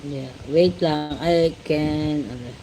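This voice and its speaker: Filipino woman streamer, leisurely shout-out style, faint hiss behind. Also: low voice, slow pace. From Philippines. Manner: greets viewers with repeated shout-outs, mixing Tagalog and English. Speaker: livestream host. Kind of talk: casual livestream chat.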